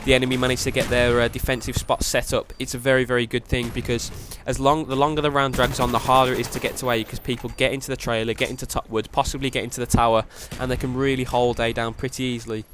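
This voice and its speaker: A young man with a cold narrates gameplay in a quick, steady flow. His voice sounds nasal and stays flat, with faint gunfire and explosions from the game behind him. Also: mid-range voice, background noise. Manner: quick, even delivery with repeated "very, very". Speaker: gaming commentator. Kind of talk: gameplay commentary with tips.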